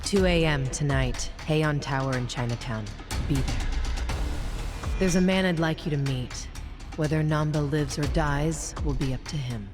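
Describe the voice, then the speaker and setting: A woman's voice: sits low, a little slow, over music. An actress playing a cool, ruthless female crime boss in an English game dub, curt and commanding. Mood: stern, commanding. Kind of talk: English video game voice acting.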